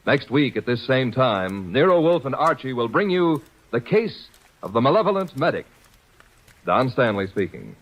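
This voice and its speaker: A man's voice: medium pitch, moderate pace, lo-fi sound. A man announcing the credits and program notes in a formal 1940s radio style. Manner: formal, crisp and lively. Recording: old radio broadcast with music.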